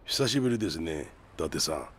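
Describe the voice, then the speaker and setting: An actor playing a calm, knowing older underworld informant in a video game, measured and self-assured. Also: male voice, deep voice, a little hiss or hum. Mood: composed, knowing. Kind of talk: dramatic video game acting.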